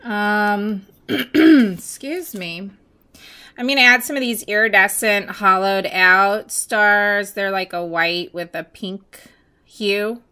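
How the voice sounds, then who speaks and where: A woman chatting casually while mixing glitter, her voice going hoarse as she loses it, with many pauses and fillers. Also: medium pitch, bright, forceful tone. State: losing her voice, hiccups. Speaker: nail art seller mixing glitter. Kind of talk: casual crafting voice-over.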